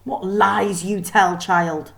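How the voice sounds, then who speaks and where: A woman's voice: medium pitch, steady tone, slow pace, creaky, a bit bright. A woman voicing a stern older schoolmistress in a children's storybook, scolding, imperious and clipped. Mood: dismissive. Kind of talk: children's storybook read aloud.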